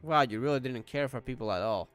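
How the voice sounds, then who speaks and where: A man's voice: mid-range voice, fast talker, loud music behind the voice. A man giving casual reaction commentary while streaming a video game. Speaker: gameplay streamer.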